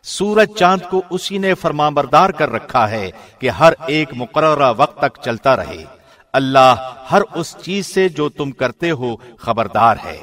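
Male studio narrator, slightly high, measured and solemn, formal reading. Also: lively intonation, fairly bright tone. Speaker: translation reader. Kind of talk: scripted narration. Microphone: studio mic.

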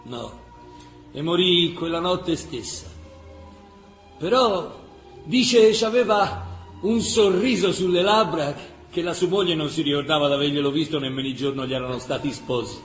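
An actor playing a heartfelt Tuscan storyteller recounting war memories in colloquial, emphatic radio theatre style. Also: male voice, fairly high pitch, normal speed, soft background music, close to an everyday voice. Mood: tender. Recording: roomy stage-like echo.

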